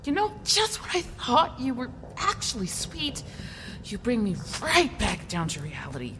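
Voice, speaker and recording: An actress playing a sharp-tongued, sardonic young woman adventurer in an audio drama. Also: on the high side, wildly swooping pitch, a little slow, in a large hall, noisy background.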